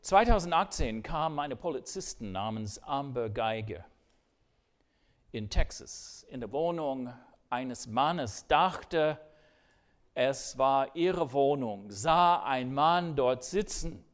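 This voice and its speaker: An older man preaching a sermon earnestly, swinging between loud emphasis and hushed, quiet asides. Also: medium pitch, expressive, slow.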